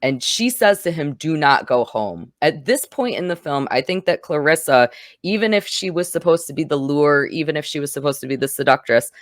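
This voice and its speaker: A woman's voice: low voice, animated, brisk, dry recording. A woman hosting a podcast, chatting casually and recounting a film in detail. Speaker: podcast host. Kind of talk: casual film review chat.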